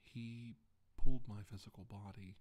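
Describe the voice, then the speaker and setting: A middle-aged man playing a solemn doctor giving testimony about his alien abduction in an ASMR roleplay, halting and deliberate with long pauses. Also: on the low side, steady tone, dark tone, close to an everyday voice. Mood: grave, measured. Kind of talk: ASMR roleplay, slow deposition monologue. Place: indoor room, seated at a table. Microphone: microphone on the table close in front.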